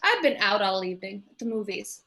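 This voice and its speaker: A woman's voice: mid-range voice, very animated, fairly quick, sharp tone. A woman playing a cool, unsentimental widow with a dry, morbid manner in a script table read.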